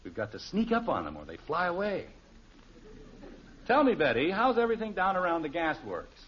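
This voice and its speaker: A man's voice: high voice, huge pitch swings, normal speed, highs cut off, a little hiss or hum. An actor playing a wry, mock-pompous American father in a 1950s radio sitcom, on an old recording. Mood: wry patience. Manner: florid, mock-pompous phrasing.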